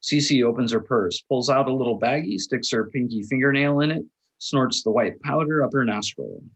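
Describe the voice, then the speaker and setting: A man with a husky voice narrating a script's stage directions at a table read. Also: mid-range voice, normal speed, rough recording.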